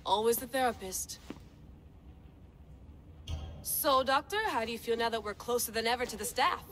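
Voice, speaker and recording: A woman's voice: fairly high pitch, moderate pace, a bit bright, faint music behind, natural voice, low-quality recording. An actress playing a driven young woman researcher-assassin in a video game, dry, wry and self-assured. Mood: confident, intent. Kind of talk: video game cutscene acting.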